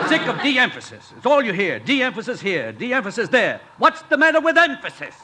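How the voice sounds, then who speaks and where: A man's voice: very high voice, wildly swooping pitch, normal speed, a loud crowd, exaggerated voice. An actor playing a blustering, impatient man in an old radio sitcom, sputtering and repeating himself for emphasis. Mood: blustering, exasperated. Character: blustering, impatient man complaining to a college president. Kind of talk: 1950s radio sitcom acting. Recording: noisy old radio broadcast recording.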